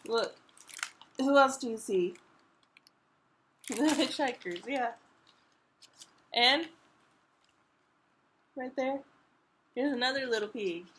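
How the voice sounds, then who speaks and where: A woman reading a picture book aloud to toddlers in an expressive storytime manner, pausing to put questions to the young listeners. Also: high voice, slight background noise. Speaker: children's librarian reading a storytime.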